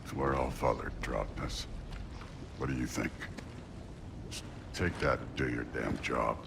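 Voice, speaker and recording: Deep, gravelly, surly warrior god. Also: male voice, fairly even intonation, unhurried, noisy background, exaggerated voice. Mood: irritated. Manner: gruff, scornful. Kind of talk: cinematic video game acting. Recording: loud rumbling ambience over voice.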